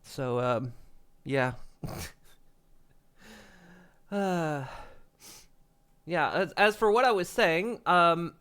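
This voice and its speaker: A man's voice: on the high side, very slow, long pauses, a bit bright, clear, resonant tone. Congested man chatting on stream. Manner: rambling, frequent chuckles and restarts. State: congested, stuffy nose. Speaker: livestreamer. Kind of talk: casual stream chat. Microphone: desk microphone.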